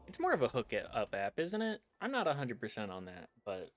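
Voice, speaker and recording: A man streaming a video game, chatting casually in a rambling, self-deprecating way with animated asides. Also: mid-range voice, brisk. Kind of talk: casual chat while commenting on gameplay. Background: game music.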